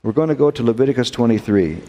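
American man, mellow low voice, preacher teaching a Bible lesson in a reverberant hall; unhurried, asks rhetorical questions. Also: a little hiss or hum. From United States. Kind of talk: teaching a Bible study class.

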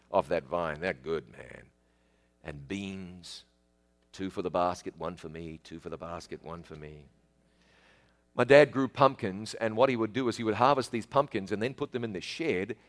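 A middle-aged man with a New Zealand accent, telling childhood stories in a folksy, chatty way and speaking straight to the listener. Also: sits low. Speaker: storyteller. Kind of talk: casual spoken reminiscence.

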